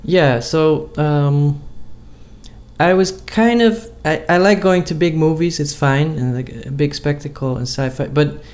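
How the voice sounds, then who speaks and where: A man's voice: a little high, unhurried, darkish tone. Hesitant man in casual chat. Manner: hesitant, many fillers and restarts.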